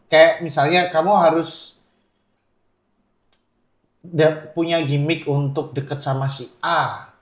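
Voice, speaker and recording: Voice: medium pitch, little pitch movement, slow, long pauses, roomy sound. A podcast host asking probing questions in a playful, casual way, laughing often. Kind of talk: casual interview.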